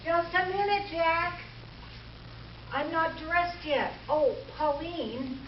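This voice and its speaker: A woman's voice: very high voice, slow. Woman playing a poised lady radio star, old comedy style, far from mic, noisy recording. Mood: poised, dry. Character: poised female radio star talking to her maid. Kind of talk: 1940s radio comedy acting. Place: live stage show before an audience. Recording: echoey stage recording, distant, noisy, dull.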